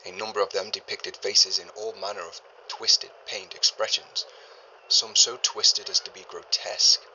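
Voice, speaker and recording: An actor playing a learned 1930s archaeologist, a man recording a formal, deliberate journal in grave and uneasy tones for an audio drama. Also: on the low side, steady tone, bright, forceful tone, a little hiss or hum, low-quality recording. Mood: grave unease. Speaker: expedition leader keeping a recorded log. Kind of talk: scripted audio drama monologue, spoken journal.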